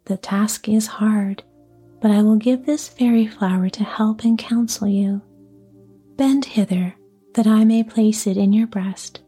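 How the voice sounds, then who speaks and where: A woman voicing a gentle, kindly little female fairy in a soft whisper. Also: medium pitch, steady tone, a little slow, breathy edge, faint music behind. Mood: tender.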